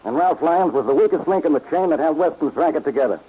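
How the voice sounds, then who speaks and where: Grumpy blustering cop boss, husky and a bit high, muffled old radio. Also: male voice, animated, quick pace. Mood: grudging, calmer. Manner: blustery, curt. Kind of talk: 1940s radio acting. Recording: muffled, dull vintage radio recording.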